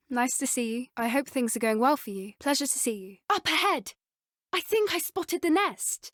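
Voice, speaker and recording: A woman's voice: on the high side, no room sound, studio quality, natural voice. A woman with an English accent playing an earnest, plucky teenage schoolgirl witch who loves creatures, speaking politely in video game dialogue. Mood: friendly greeting, then alert, urgent. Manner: polite, earnest, softly eager. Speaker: video game character voice actor.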